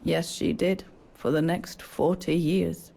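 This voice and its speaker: A woman's voice: fairly low pitch, little pitch movement, takes it slowly, vocal fry, dark tone, heavily acted. An actress playing a curt, guilt-ridden old woman in a story game, speaking with weary deliberation. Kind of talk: dramatic game dialogue.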